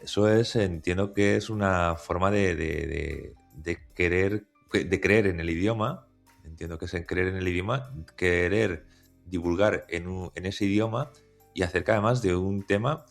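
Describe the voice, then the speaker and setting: A man's voice: sits low, moderate pace, creaky, soft background music. A man hosting a conversational podcast interview, talking with many hesitations and restarts. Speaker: podcast host.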